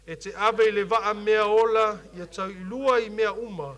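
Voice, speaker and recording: A man with a Samoan accent, a youth leader preaching a sermon who also reads scripture, often pausing and restarting as he searches for English words. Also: sits high, steady tone, a bit bright. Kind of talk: bilingual sermon with scripture reading.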